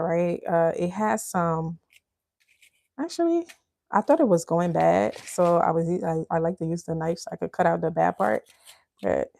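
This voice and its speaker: A woman's voice: lowish voice, creaky, a little mellow, dry recording, studio quality. A woman from Liberia hosting a live cooking stream, chatting casually with viewers and calling them y'all. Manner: chatty, addresses viewers as y'all, laughs often. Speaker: cooking channel host.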